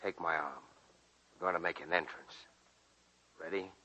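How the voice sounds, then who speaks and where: An actor playing a steady, gentle, protective gentleman friend in a 1940s radio drama style. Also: deep voice, normal speed, heavy background noise, lightly acted. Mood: fond, encouraging. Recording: dull vintage radio recording with loud music.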